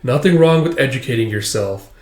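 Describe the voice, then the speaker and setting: A man's voice: medium pitch, animated, fairly quick, darkish tone, close to an everyday voice. A man voicing a blunt, scornful, bookish young man from a comic, in an echoey room. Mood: dismissive.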